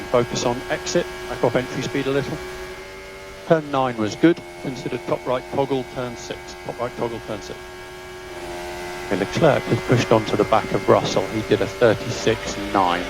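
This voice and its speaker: A man speaks as a motor racing engineer over team radio, at a middling pitch. His delivery is clipped and businesslike, passing on short technical calls. Clear hum and vehicle noise run through the transmission. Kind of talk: terse race instructions and updates over radio.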